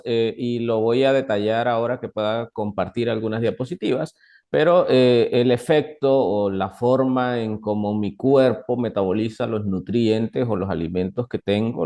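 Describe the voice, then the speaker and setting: A young man with a Colombian Caribbean accent, explaining things as an expert guest in an interview, in a halting way with repeated words. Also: medium pitch, gravelly, dark tone. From northern Colombia. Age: young adult. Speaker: guest doctor, nutrition expert. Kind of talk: interview, explaining to a lay audience.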